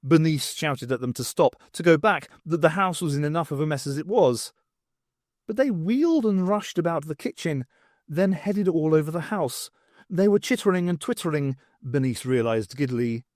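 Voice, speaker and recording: Animated man narrating, very dry sound. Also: a little high, dry recording. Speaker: audiobook narrator. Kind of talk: scripted fiction narration.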